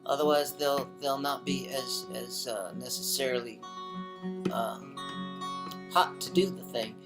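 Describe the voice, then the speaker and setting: A man hosting a casual stream, rambling in a halting way with many fillers and restarts. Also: mid-range voice, music in the background. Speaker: singer-songwriter hosting a home stream.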